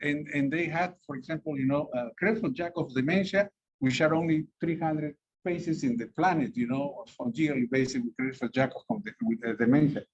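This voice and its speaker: Rambling physician from South America, husky. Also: male voice, fairly high pitch, a little fast. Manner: rambling, frequent fillers and restarts. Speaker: physician lecturer. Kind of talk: medical lecture to clinicians. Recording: dull lo-fi recording.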